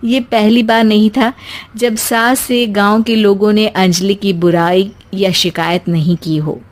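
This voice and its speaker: A woman's voice: mid-range voice, fairly even intonation, measured pace. A woman narrating a scripted story as a storyteller.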